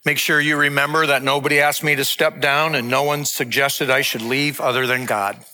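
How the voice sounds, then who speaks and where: Earnest bright-voiced pastor in his sixties. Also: mid-range voice, little pitch movement, poor audio. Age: 60s. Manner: earnest, measured, heartfelt. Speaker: lead pastor. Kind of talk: sermon and farewell announcement.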